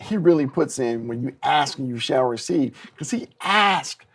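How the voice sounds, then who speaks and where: Male co-host, middle-aged, husky and creaky, bantering casually. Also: medium pitch, expressive, moderate pace. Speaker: talk show co-host.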